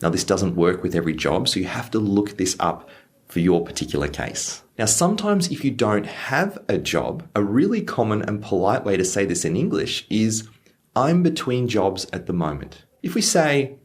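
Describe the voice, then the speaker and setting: Man, deep voice, lively tone, teaching english, slow clear examples. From Australia. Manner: lively, stresses example phrases slowly. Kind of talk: clear teaching explanation for learners.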